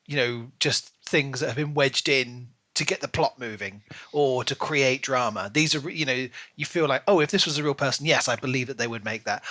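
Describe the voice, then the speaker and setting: A man's voice: mid-range voice, sharp tone, no echo. A man co-hosting a casual book discussion podcast, talking in a rambling way and often rephrasing himself. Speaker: podcast co-host.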